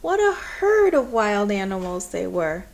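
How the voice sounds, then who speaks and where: A woman's voice: mid-range voice, big pitch swings, measured pace, vocal fry, darkish tone. A woman voicing a kindly old storybook grandmother with a folksy, understated, plain-spoken way of talking. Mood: fond, reminiscing. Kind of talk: storybook dialogue read aloud.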